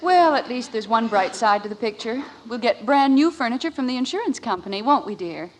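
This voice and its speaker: A woman's voice: a little high, animated, brisk, slight background noise, close to an everyday voice, low-quality recording. An actress playing a practical, concerned suburban wife and mother in a 1950s American radio sitcom, coaxing and persistent. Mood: puzzled, hopeful. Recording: vintage 1950s radio recording.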